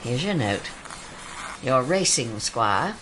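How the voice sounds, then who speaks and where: A woman acting a dry, witty police pathologist in a radio drama, with a deadpan, literate delivery. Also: a bass voice, takes it slowly, very noisy, heavily acted. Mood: dry, wry.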